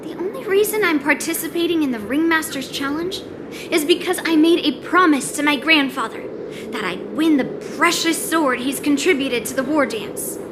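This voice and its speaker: A woman's voice: sits high, animated, normal speed, noisy background. A woman voicing a blunt, headstrong, self-assured young girl sword fighter in a video game. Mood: resolute. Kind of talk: video game cutscene acting.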